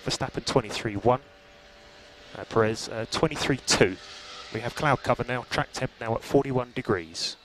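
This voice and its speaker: A man engineers a race over team radio, reading gaps and lap times in a level, unhurried voice. His pitch sits in the middle. The radio adds a clear hiss behind him. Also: a bit bright. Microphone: headset radio. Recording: noisy team radio line.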